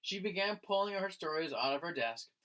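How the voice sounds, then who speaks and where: A man reading a children's picture book aloud like a teacher, in an expressive, dramatic manner. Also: high-pitched, a little fast, poor audio. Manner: dramatic, acting out the lines.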